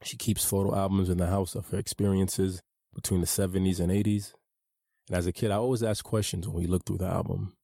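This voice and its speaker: A man reads his own memoir in a measured, earnest, personal way. His voice sits very low and carries a gravelly edge. The tone is dark and mellow, recorded dry and close with no room sound. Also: level tone, dry recording. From United States. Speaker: author narrating his own audiobook. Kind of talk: reflective memoir narration.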